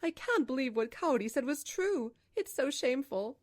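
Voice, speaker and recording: A woman's voice: sits high, lively intonation, moderate pace. A woman voicing an ashamed, politely apologetic older mother-in-law in a manga dub.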